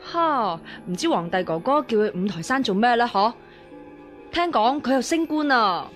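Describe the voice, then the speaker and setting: An actress playing a spoiled young princess who wheedles and pouts, in Hong Kong Cantonese radio drama style. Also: female voice, on the high side, animated, moderate pace, soft background music, natural voice. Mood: childish, insistent. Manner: whiny, pouty, coaxing.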